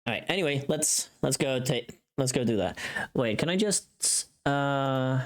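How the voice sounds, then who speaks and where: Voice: medium pitch, animated, measured pace. A livestream host chatting casually, rambling and thinking aloud to themselves.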